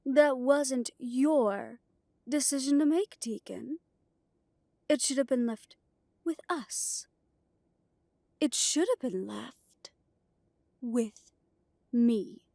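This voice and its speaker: Haughty vampire coven boss lady, actress doing it, kinda high voice, super slow n condescending, dry audio drama sound. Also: gravelly, warm tone, dry recording, exaggerated voice. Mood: stern, imperious. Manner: slow, measured, haughty delivery. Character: imperious vampire coven leader, silky, condescending woman. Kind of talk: audio roleplay drama acting.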